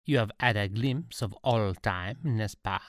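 A man's voice: on the low side, a little slow, dry recording, studio quality. A man voicing a pompous, domineering male emperor. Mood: grandiose.

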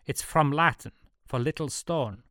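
A man's voice: lowish voice, expressive, moderate pace, dry recording, clean studio sound. A man voicing a proud, middle-aged Swiss merchant, a slick hustler in an audiobook story. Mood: smug, salesmanlike.

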